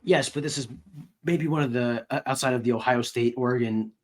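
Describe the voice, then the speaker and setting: A man's voice: mid-range voice, brisk. A man co-hosting a sports podcast, discussing games in a casual, conversational way like a reporter.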